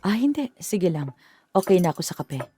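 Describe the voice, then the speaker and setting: An actress playing a worried middle-aged mother who speaks in a halting, gentle way in a radio drama. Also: lowish voice, very animated, a little hiss or hum. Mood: warm.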